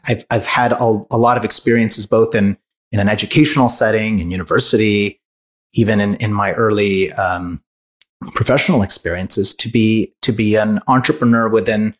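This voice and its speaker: A man's voice: fairly low pitch, fairly even intonation, moderate pace. A man in his forties from Hungary, a startup founder answering interview questions thoughtfully, with many restarts and fillers.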